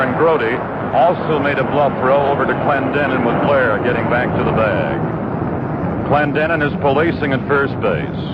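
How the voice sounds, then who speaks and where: A man calling live baseball play-by-play for radio, with a steady, measured delivery full of statistics. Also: mid-range voice. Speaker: play-by-play announcer. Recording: muffled old 1960s radio broadcast with noise.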